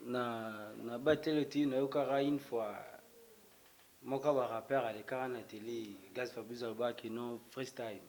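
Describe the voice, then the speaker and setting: A man's voice: medium pitch, steady tone, unhurried, a little mellow. A man interviewing a rapper in a loose, chatty way. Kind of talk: casual interview questions.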